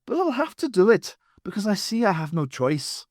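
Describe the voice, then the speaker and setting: A man voicing a sorrowful, pleading Irish space traveller with a high, strained voice and an Irish accent. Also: sing-song, moderate pace, dry recording, clean studio sound.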